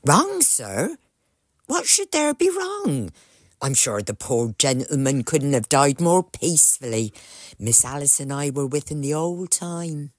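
An actress playing an anxious, deferential old British housekeeper who confides gossip in an audio drama. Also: female voice, low-pitched, big pitch swings, a little slow, dry recording, clean studio sound, exaggerated voice. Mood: confiding, earnest. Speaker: actor.

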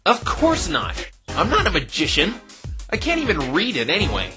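A man's voice: high-pitched, huge pitch swings, moderate pace, over music. A man playing an easily flustered, exasperated young lawyer in a cartoon, with a dry, stammering manner. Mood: panicked, exasperated.

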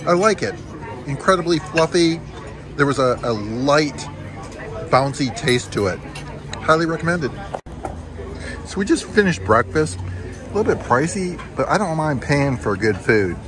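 A man's voice: on the high side, expressive, unhurried, pauses often, over music. A man hosting a casual food vlog at a cafe, joking and giving upbeat commentary on the dishes. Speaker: food vlogger. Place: cafe patio, outdoors.

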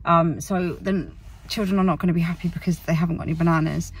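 Low, husky British woman, rambling. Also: steady tone, quick pace, distant mic, rough recording. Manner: rambling, word-searching, self-correcting. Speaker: family vlogger. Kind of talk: casual chat to camera. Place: inside a parked car.

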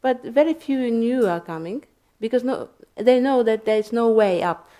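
Hesitant, mellow-voiced woman panelist. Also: a little high, lively intonation, moderate pace. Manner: thoughtful, hesitant, many ums and restarts. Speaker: panelist, researcher. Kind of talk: panel discussion answer. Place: library event room.

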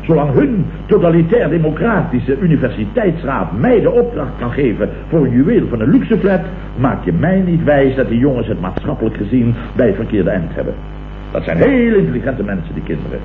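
Muffled old radio comedy: blustering, self-satisfied boss, swooping intonation, echoey room. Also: male voice, medium pitch, huge pitch swings, a little fast. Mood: pompous lecturing. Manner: pompous, self-satisfied, repeats himself. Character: pompous, blustering male company boss, vain and excitable. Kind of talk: 1960s Dutch radio comedy acting. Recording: muffled, hissy, echoey vintage radio recording.

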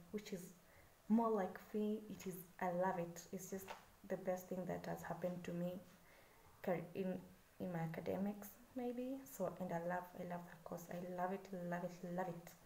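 A woman's voice: mid-range voice, dark tone. A woman in her twenties with a Kenyan accent, introducing herself in a casual vlog, chatty and a little nervous, with many fillers. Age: 20s. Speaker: vlogger. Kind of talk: casual self-introduction to camera. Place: small room indoors. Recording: echoey room with faint background hiss.

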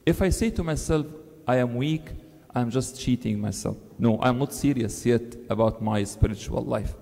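A man, a priest giving an earnest sermon into a lectern microphone, lifting his pitch to stress key words. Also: dark tone. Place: large church, seated at a lectern. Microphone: stand mic on the lectern. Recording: long church reverb.

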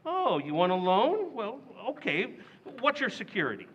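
A man's voice: high voice, huge pitch swings, normal speed, highs cut off, slight background noise. An actor playing a blustery, boastful working-class father in an old-time radio play recreation, mangling big words.

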